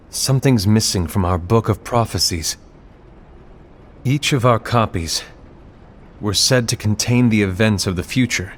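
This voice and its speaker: Grave, low-voiced fantasy order leader. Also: male voice, slight background noise. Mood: troubled. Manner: solemn, formal, deliberate. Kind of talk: scripted game cinematic acting.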